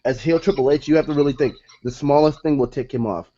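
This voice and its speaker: A man's voice: a little high, fast talker, mellow, lo-fi sound. A man co-hosting a casual wrestling chat, talking insistently and keen to argue his point.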